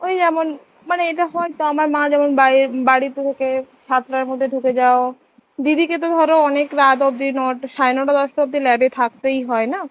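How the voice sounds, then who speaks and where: A woman's voice: high-pitched, steady tone, fairly quick. A young woman with a West Bengal Bengali accent chats casually on a recorded phone call, agreeing readily with the other speaker. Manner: agreeing, brief supportive replies.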